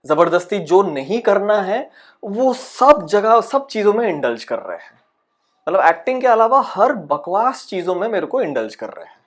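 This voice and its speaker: A man's voice: high-pitched, big pitch swings, moderate pace. A man playing a frustrated, paranoid aspiring actor who rants insistently in a dramatic monologue. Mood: angry, exasperated. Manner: exasperated, ranting, insistent, with rhetorical questions. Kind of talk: dramatic comic audition monologue in Hindi-English mix.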